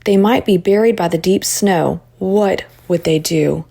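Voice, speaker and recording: A woman reads a storybook aloud for children. Her voice sits at a middle pitch with noticeable creak in it, and she narrates expressively. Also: unhurried, warm tone.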